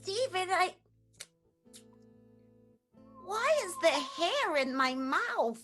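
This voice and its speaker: A woman playing a confused, slow-witted, vengeful young werewolf in an improvised radio play, bewildered and dramatic. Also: very high voice, big pitch swings, a bit bright, soft background music, exaggerated voice.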